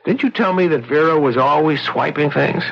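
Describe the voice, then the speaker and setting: A man's voice: a little high, normal speed, creaky. An actor playing a concerned, sensible man in a radio drama, plain-spoken and reasoning. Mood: frank, reasoning. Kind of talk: 1970s radio drama acting. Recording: muffled old radio drama recording.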